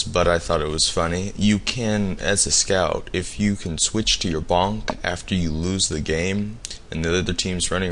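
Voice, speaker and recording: A slightly hoarse man recording a prepared audio message with tips for a show. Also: low-pitched, fairly bright tone. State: losing his voice, slightly hoarse. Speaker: listener sending in a tip. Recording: homemade MP3 with faint noise.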